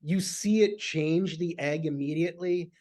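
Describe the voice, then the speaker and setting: Male guest from Michigan, fairly high voice, halting, filler-heavy casual chat. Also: darkish tone. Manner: rambling, halting with many fillers.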